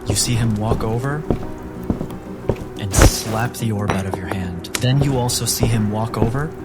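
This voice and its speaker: A man acting as game master, narrating events to the players in measured second person. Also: on the low side, loud music behind the voice.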